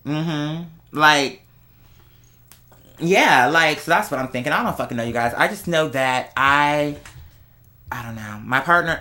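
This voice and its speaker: A man's voice: a little high, sharp tone. A young American man talks casually and candidly in a chatty monologue, with a sassy, slangy, emphatic manner and dramatic asides. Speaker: vlogger.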